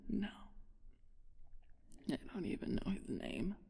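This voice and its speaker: A woman's voice: mid-range voice, very animated, warm tone, full character voice. Woman acting a wounded, whispering vampire seductress. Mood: scornful, vengeful. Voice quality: breathy, husky whisper. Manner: slow, teasing, haughty, with theatrical pauses. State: weakened, wounded, laboured breathing. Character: ancient flirtatious female vampire thief, haughty and vengeful. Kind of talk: whispered ASMR audio roleplay.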